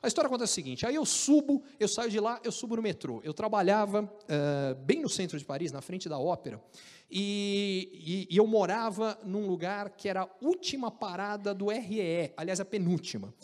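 A man with a Brazilian Portuguese accent telling an animated, joking story about his career, with frequent restarts. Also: high voice, moderate pace, long reverb tail. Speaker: speaker recounting career story. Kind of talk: casual storytelling talk.

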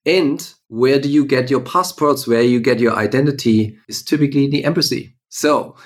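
A man with a medium-pitched voice. He speaks as an interview guest, explaining things in a chatty, conversational way. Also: moderate pace. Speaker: guest, tech company CEO.

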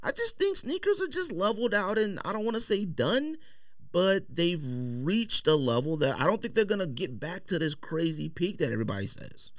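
A man's voice: sits high, sing-song, normal speed, fairly bright tone, dry recording. A middle-aged American man in slangy, casual conversation, opinionated and emphatic, as a podcast host in a studio. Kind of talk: casual chat about sneakers, slangy.

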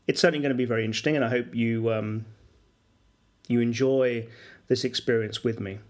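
A middle-aged man hosting a solo business podcast, explaining at a measured pace with fillers and self-corrections. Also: mid-range voice, fairly even intonation. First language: English. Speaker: podcast host and business English coach.

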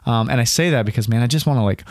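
Man in his 30s, raspy from a cold, solo podcast host, loose and chatty, dry close sound. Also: medium pitch, rapid-fire, no room sound. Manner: casual, rambling, many fillers and "dude", "man". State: hoarse from a cold. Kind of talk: casual solo podcast talk. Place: alone in a room at home. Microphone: home recording at a computer.

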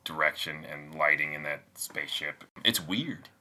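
A man's voice: very deep voice, moderate pace, sharp tone. A man chatting casually about a TV show as a podcast panelist, with faint noise in the recording.

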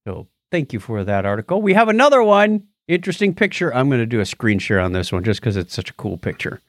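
A man's voice: medium pitch, sing-song, quick pace, no echo, studio quality. A man hosting a podcast in a casual, chatty style, bantering and joking as he goes. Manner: jokey, chatty. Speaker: podcast host. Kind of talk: casual news and birthdays banter.

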